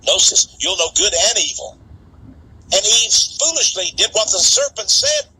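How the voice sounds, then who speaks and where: Animated, sharp-voiced preaching man. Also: high-pitched, moderate pace, a little hiss or hum, poor audio. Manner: emphatic, repeats words and acts out quotes. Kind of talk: impassioned sermon-like monologue to listeners.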